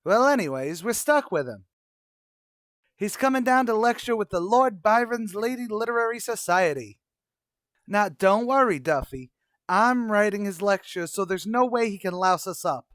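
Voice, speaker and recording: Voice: mid-range voice, animated, moderate pace, a bit bright, no echo, studio quality, close to an everyday voice. Someone playing a brash New tavern manager. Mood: breezy. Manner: breezy, mock-erudite, brash. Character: wisecracking, pompous, malaprop male tavern manager Archie. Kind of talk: old-time radio comedy acting.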